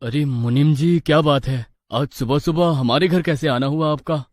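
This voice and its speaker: A man's voice: on the high side, normal speed, darkish tone, a little hiss or hum, lightly acted. A man playing an earnest, respectful young village husband and dutiful son. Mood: puzzled.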